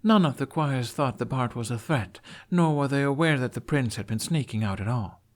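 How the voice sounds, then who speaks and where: A man voicing a loyal, steady young woman knight who is frank, matter-of-fact and supportive in a story reading. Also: mid-range voice, normal speed, pitched above the performer's own voice, lightly acted. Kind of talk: dramatized fan fiction reading.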